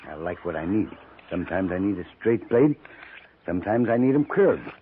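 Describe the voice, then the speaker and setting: A thin, noisy old radio recording of a menacing buffalo skinner. His low voice drawls in folksy frontier talk, swinging widely in pitch as he gloats and chuckles over his threats. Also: animated, normal speed, narrow, tinny audio. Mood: gloating menace. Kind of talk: 1950s western radio acting.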